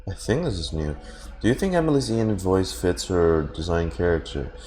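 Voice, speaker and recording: A man's voice: sits low, animated, moderate pace, darkish tone. A man giving casual, laid-back livestream commentary as he reacts to a video game, musing aloud. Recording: game music behind the voice.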